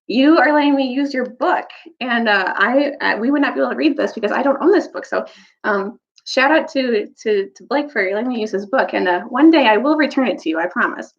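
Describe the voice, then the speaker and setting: A woman speaking as a teacher and storyteller in a casual, chatty way, halting and often restarting her phrases. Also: fairly high pitch, expressive. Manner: halting, informal, self-interrupting. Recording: dull lo-fi recording.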